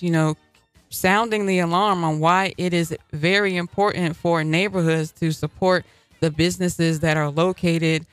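Easygoing male radio host, smooth voice. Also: on the high side, a bit bright, no room sound. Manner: easygoing, many fillers like "you know" and "uh". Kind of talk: casual community radio interview.

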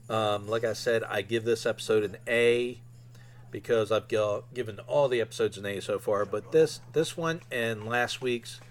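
A man hosting a fan podcast solo, chatting in an informal, conversational way. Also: mid-range voice. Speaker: podcast host.

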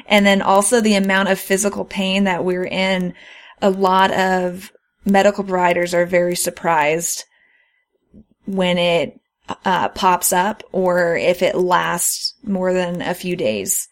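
A woman's voice: lowish voice, fairly even intonation, slow pace. A woman co-hosting a podcast, talking casually and explaining things in an earnest way. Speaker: podcast co-host. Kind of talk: casual conversational podcast talk.

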